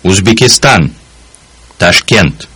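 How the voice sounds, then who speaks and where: A man speaking single words slowly and deliberately as a model for learners. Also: sits low, lively intonation, pushed and bright, husky, dry recording. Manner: deliberate, articulated word by word. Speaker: native speaker on a language course recording. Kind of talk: slow, careful model pronunciation.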